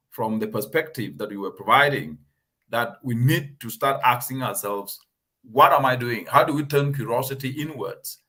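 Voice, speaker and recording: A man hosting a talk show, speaking in an earnest, emphatic and measured way. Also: medium pitch, bright, forceful tone. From Cameroon. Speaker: host.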